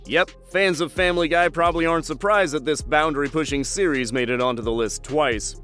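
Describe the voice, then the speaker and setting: A man's voice: fairly high pitch, a little fast, fairly bright tone, faint music behind. A man reading a scripted countdown list with wry, dry asides. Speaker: narrator.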